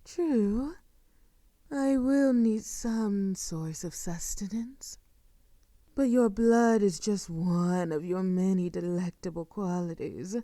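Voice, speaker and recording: A woman playing a seductive, sadistic vampire lord in an intimate audio roleplay, with soft murmurs and a theatrical, condescending, drawn-out delivery. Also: medium pitch, no echo, studio quality, close to an everyday voice. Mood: seductive, coaxing.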